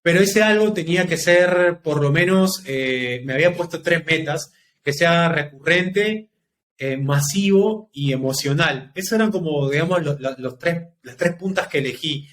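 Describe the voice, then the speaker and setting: Guy, 30s, podcast guest, slightly high voice, faint noise underneath, thoughtful storyteller. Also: a bit bright. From Peru. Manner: reflective, talks in long unhurried stretches. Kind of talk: casual interview storytelling.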